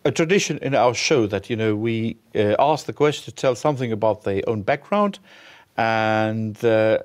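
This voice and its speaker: Halting male talk show host. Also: fairly low pitch. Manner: hesitant, halting with fillers and restarts. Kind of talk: interviewing a guest.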